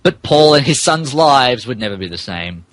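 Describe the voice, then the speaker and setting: A man's voice: medium pitch, big pitch swings, moderate pace, fairly bright tone, no room sound. A man reading fan fiction aloud as a podcast host, in mock-dramatic narration broken by amused asides. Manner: theatrical, breaks character to comment.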